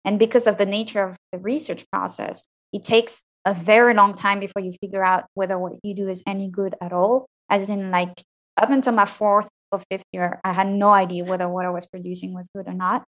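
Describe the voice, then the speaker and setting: A woman in her thirties with a fairly high voice, answering interview questions frankly and thoughtfully, often restarting her sentences. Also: fairly even intonation, fairly quick, low-quality recording. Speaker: interview guest, PhD graduate.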